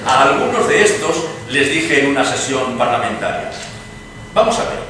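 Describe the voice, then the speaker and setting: A man acting a liberal Spanish politician of the early nineteenth century, deliberate and declamatory, in a dramatized reading. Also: mid-range voice, sing-song, natural voice. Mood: ironic, defiant. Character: liberal Spanish politician of 1815, weary and ironic. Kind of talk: theatrical radio drama dialogue. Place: live reading in an echoing venue. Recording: distant, echoey hall with clear noise.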